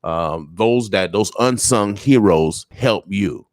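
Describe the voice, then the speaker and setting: Halting, low-voiced man in his fifties. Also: no room sound. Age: 50s. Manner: halting, many fillers and restarts, affirming. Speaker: radio host. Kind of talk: radio interview.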